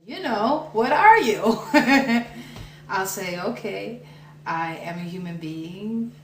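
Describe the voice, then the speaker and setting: A woman's voice: medium pitch, expressive, slow, a bit bright. A young woman speaking in an easygoing way in an interview. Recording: echoey with music.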